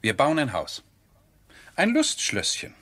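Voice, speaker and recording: Animated, husky gentleman landowner. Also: a little high, slow, heavily acted. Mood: eager, playful. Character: enthusiastic, impulsive gentleman landowner, amiable host. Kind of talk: German radio drama acting.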